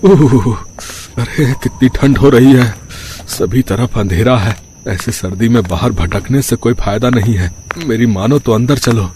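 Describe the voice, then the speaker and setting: A man playing a cautious, grumbling poor village husband in an animated moral story, protesting hesitantly. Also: mid-range voice, a little slow, slight background noise, close to an everyday voice.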